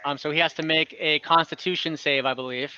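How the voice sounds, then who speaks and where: A man's voice: a little high, fairly even intonation, a little fast, pushed and bright, clean tone, muffled recording. A man acting as game master for an online tabletop game, explaining rules with hesitant restarts and fillers. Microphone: home mic on an online call.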